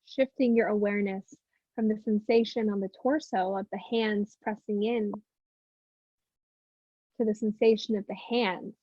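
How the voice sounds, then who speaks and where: A woman teaching meditation, speaking gently and unhurriedly with long pauses in soothing guided instruction. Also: medium pitch, no room sound, dull audio.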